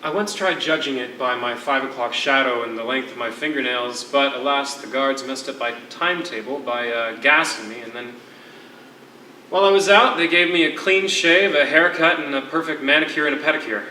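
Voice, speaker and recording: Man acting as a sardonic imprisoned writer, sharp echoey stage voice. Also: mid-range voice, normal speed. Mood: wry. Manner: wordy, formal, sardonic. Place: on a stage in a hall. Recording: echoey theatre stage, distant mic, faint noise.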